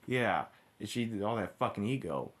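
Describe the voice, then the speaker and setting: A man's voice: fairly low pitch, animated. A man giving informal, ranting review commentary, blunt and profane, often backtracking and restarting his sentences. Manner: halting, with repeated restarts and backtracking. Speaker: cartoon episode reviewer.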